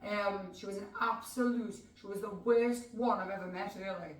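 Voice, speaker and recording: A woman's voice: mid-range voice, fairly even intonation, normal speed. A woman from North East England, speaking frankly and with feeling as a podcast guest. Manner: emotional, frank. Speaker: guest, host's wife. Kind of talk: casual podcast chat. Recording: echoey room, distant mic.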